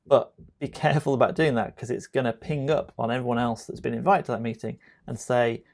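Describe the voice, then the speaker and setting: A man presenting an informal software tutorial, talking directly to the viewer in a conversational, explanatory way. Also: mid-range voice, steady tone, a little fast, gravelly.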